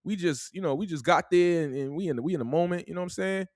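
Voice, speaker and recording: A man's voice: a little high, fast, no echo, clean studio sound. A young man from New York with a New York accent, telling a story in a casual, laid-back way and tagging his sentences with "man". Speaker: podcast host.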